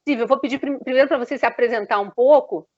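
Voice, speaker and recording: A woman's voice: high-pitched, fast talker, a little mellow. A woman with a Brazilian Portuguese accent, hosting a conversational live journalistic interview over dull online stream audio.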